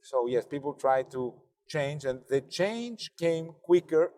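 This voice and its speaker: A man in his forties from Athens with a Greek accent, speaking English as a second language. He answers interview questions in a car, in a measured way, often pausing to find his words. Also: a little high, lively intonation, dark tone, long reverb tail. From Greece, Athens. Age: 40s. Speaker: driver and interview guest. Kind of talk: casual conversation. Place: inside a car.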